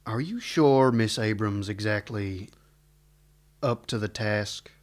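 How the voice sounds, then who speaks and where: A man's voice: fairly low pitch, slow. A man playing a laconic, wry, no-nonsense older US marshal in a western radio serial. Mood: exasperated. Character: dry, weary, no-nonsense older Wild West marshal.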